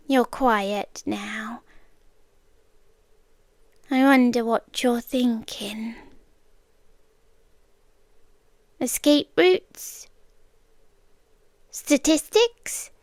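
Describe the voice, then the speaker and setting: A possessive British girlfriend, acted by a woman, murmurs in a high voice, close and dry on the mic. She takes her words very slowly and often sinks into whispers. It is intimate ASMR roleplay, sweetly devoted with quiet menace underneath. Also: dry recording, full character voice. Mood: hushed, anxious. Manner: slow, hushed, deliberate, often slipping into whispers. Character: soft-spoken obsessive yandere girlfriend, gently menacing captor. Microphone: close microphone, dry.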